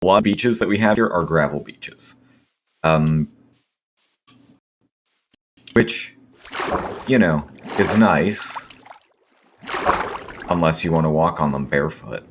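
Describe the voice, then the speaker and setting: A man with a hoarse, scratchy voice from a sore throat, rambling with many ums and self-corrections as he comments on his gameplay. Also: a bass voice, slow, pauses often. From Seattle area, US. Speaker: gaming video host.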